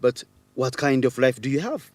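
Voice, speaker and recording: A man's voice: mid-range voice, expressive, normal speed, darkish tone, dry recording, lightly acted. An actor plays an opinionated man in a radio drama for language learners. His voice swings up and down with a blunt, challenging, teasing edge. The recording is close and dry. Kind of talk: educational radio drama dialogue.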